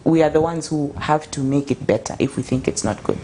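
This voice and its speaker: A woman's voice: low voice, a little fast, background noise. A young Zambian woman, an author guest, talking earnestly and passionately in a casual interview, with frequent restarts. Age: young adult.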